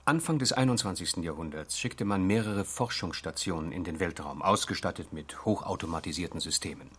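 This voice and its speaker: Husky low earnest male investigator. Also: fairly quick, fairly bright tone. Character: earnest, eager investigator reporting his findings. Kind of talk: radio drama dialogue.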